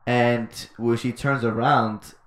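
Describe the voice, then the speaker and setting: A man's voice: mid-range voice, steady tone, slow pace, echoey room. A man hosting a podcast, telling a story in a laid-back casual way with lots of fillers and swearing. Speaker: podcast host.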